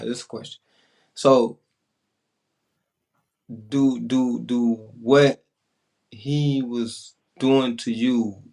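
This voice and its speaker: A man in an informal panel discussion, asking questions in a halting way as he feels for the wording. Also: mid-range voice, darkish tone.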